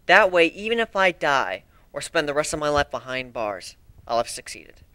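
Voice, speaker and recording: A man's voice: on the high side, very animated, moderate pace, bright, forceful tone, smooth, no echo. A man giving an amateur dramatic reading of a fan fiction story in a theatrical manner. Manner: theatrical, acts out dialogue.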